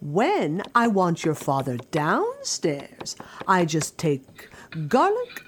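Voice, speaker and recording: A woman playing a bossy, warm mother in an audio drama, instructive and mock-solemn in a theatrical way. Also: on the low side, huge pitch swings, slow, slight background noise, heavily acted. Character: Gina's warm, bossy mother, playfully imparting kitchen wisdom.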